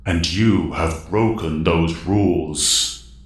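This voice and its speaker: An ancient, authoritative mentor, deep and very measured. His grave, formal words ring with strong echo in an audio drama. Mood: grave reprimand. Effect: echoing, booming reverb.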